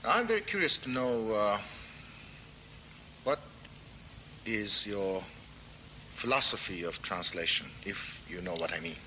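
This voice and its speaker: Host guy, lowish bright voice, polite and halting, lots of uhs. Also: expressive, a little hiss or hum, poor audio. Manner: polite, halting, many fillers. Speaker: talk show host. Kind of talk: interviewing a guest.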